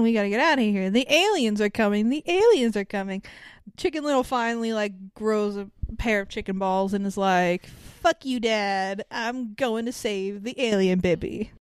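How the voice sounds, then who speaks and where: A woman's voice: mid-range voice, smooth, no echo, clean studio sound. A woman co-hosting a podcast, casually recapping a film in a sweary, sarcastic, irreverent way. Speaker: podcast co-host.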